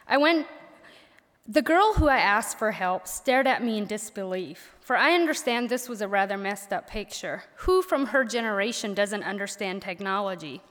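A young woman from Missouri, a native Pennsylvania Dutch speaker with that accent, reading her prepared senior speech at a college assembly with gentle wry humor. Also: mid-range voice, lively intonation, moderate pace. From Missouri, USA. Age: young adult. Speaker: graduating college student. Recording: echoey auditorium sound.

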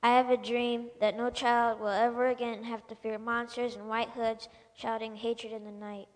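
Even-toned woman reciting in echoing hall. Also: fairly high pitch, normal speed. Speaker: reader in a readers' theater presentation. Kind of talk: recitation of oratory. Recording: echoing hall with long reverb.

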